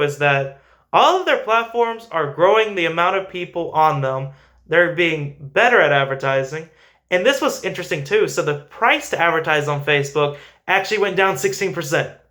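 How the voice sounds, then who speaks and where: A man's voice: on the high side, bright, forceful tone. A man hosting a finance show, giving informal, emphatic stock commentary and repeating phrases for stress.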